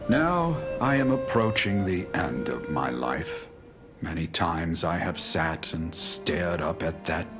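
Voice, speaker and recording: A man's voice: very deep voice, heavily acted. A man playing an aging, solemn adventurer in a video game, delivering a grave, poetic monologue with weary hope. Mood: weary, fearful. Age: older.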